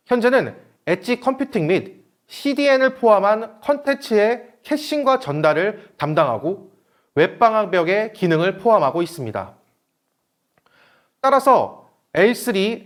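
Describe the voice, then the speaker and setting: A man giving a scripted technical presentation in a formal, read-out manner, as a seminar presenter. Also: high voice.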